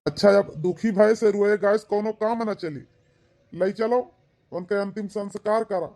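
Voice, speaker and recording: A man's voice: high voice, unhurried, gravelly, mellow. A man playing a helpful, matter-of-fact villager in an amateur cartoon, speaking earnestly and directly with an Awadhi accent. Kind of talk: amateur comedy cartoon dialogue in rural dialect.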